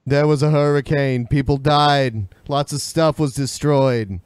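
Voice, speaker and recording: A man's voice: medium pitch, fairly even intonation, measured pace, a little mellow, smooth. An American man chatting casually as a podcast co-host. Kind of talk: casual chat.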